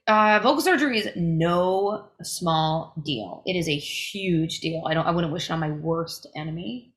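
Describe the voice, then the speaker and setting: A woman's voice: on the low side, fairly bright tone. A young woman, a touring singer, giving earnest, emphatic advice in a casual podcast interview, with fillers along the way. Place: home recording, podcast interview.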